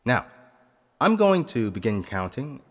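A man playing a vengeful, cold nobleman in a fantasy audio drama, making deliberate, eloquent threats. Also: mid-range voice, very animated. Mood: stern. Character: vengeful, menacing nobleman posing as castle phantom. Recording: long hall reverb.

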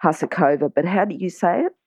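Lowish-voiced woman hosting a chat. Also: lively intonation, normal speed, darkish tone, no room sound, studio quality. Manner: chatty, interjecting agreement. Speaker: podcast interview host. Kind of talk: casual, conversational interviewing.

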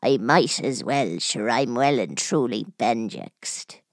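A woman's voice: extremely low pitch, lively intonation, slow, no room sound, voice pushed down, full character voice. A woman voicing a frail, dying aunt in her eighties, wry and blunt, in a radio play. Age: 80s. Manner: dry, blunt, fond.

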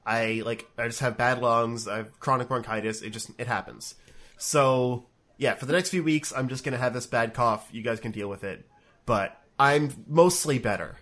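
Man, congested, sniffly, rambling casual chat, medium pitch, lots of ums. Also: normal speed, a bit bright. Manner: rambling, many fillers and restarts. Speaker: podcast co-host. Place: home room. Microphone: webcam setup, remote call.

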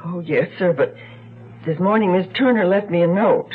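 An actress playing a respectful, talkative housemaid with a husky voice and folksy manner in a radio drama. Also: female voice, fairly low pitch, normal speed. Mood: deferential, worried. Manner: deferential, folksy. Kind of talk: 1970s radio drama acting. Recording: thin, phone-like lo-fi radio recording.

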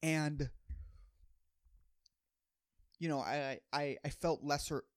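Higher-voiced man rambling in a comedic monologue. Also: fairly even intonation, unhurried, no room sound, clean studio sound. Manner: rambling, slangy, profane, repeats phrases for emphasis. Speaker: podcast host.

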